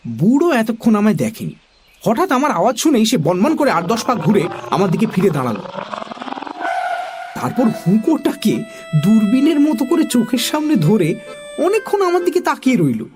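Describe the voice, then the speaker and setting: Guy reading a kids story, super high voice, very swoopy and expressive, bit of music behind. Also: normal speed, pauses often. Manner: expressive, theatrical. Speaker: narrator, also voicing a young boy. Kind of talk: animated children's story narration.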